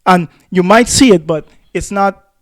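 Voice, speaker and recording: A man's voice: high voice, a little slow, no echo, studio quality. A man co-hosting a casual opinion discussion, repeating phrases as he argues his point. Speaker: podcast co-host.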